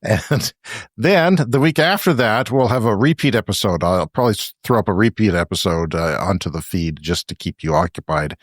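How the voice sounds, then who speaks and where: A man co-hosting a podcast, chatting casually and laughing between his remarks. His voice sits fairly low but swings up and down a great deal. The sound is close and dry, with no room in it. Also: lively intonation, moderate pace, dry recording. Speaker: podcast co-host. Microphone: remote podcast call.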